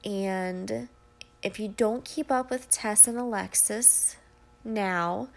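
A woman's voice: medium pitch, creaky. A woman in her twenties, nasal and stuffy from a cold, chatting casually and rambling apologetically on her solo podcast from home. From Ohio, USA. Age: 20s. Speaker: podcast host. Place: at home beside her dog.

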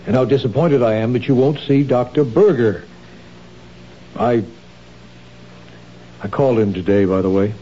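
Man doing a worried husband, calm and gentle, mellow voice, dull old radio sound. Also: mid-range voice, normal speed, long pauses, a little hiss or hum, close to an everyday voice. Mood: concerned. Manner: calm, concerned, gently probing. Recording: dull lo-fi 1970s radio drama.

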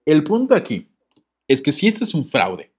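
A man's voice: a little high, huge pitch swings, moderate pace, a little mellow, breathy edge. A man co-hosting a podcast, reading a letter aloud and breaking off into jokes and laughter. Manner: joking, laughs often, reads with comic asides. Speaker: podcast co-host.

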